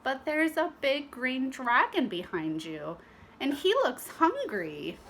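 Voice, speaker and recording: Woman as a brave young princess, children's storybook, exclaiming, eager, high-pitched, animated. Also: slow pace, a little hiss or hum, heavily acted. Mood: sheepish, hesitant. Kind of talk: children's storybook read-aloud.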